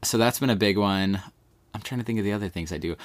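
A man from East Texas with an East Texas accent, talking in a relaxed, rambling way as a podcast host being interviewed. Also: on the low side, quick pace, no room sound. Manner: relaxed, rambling, many fillers like "like". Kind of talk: casual conversational interview.

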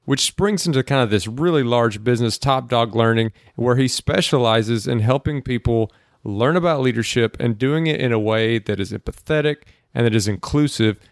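A man's voice: mid-range voice, no room sound. Young man co-hosting a podcast, dry sound. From Boston area, US. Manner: relaxed, rambling, lots of fillers like "like". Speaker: podcast co-host. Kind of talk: casual chat.

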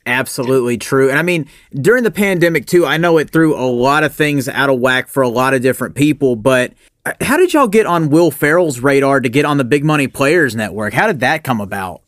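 A man's voice: medium pitch, animated, fairly quick, fairly bright tone, no echo, clean studio sound. A man hosting a casual interview in an agreeable, conversational way. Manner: agreeable, frequent short affirmations. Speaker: podcast host, interviewer.

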